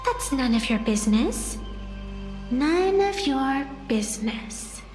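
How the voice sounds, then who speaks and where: A woman's voice: sits high, animated, slow, darkish tone. A woman voicing a secretive, aloof little animal-eared girl in a video game, dismissive and teasing in a formal way. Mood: aloof, guarded. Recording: roomy echo with music behind.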